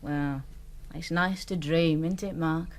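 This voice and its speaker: A woman with a Northern English accent acting a sympathetic, gently sceptical working-class wife in a radio drama. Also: sits low, fairly even intonation, slow pace. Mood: gently wry.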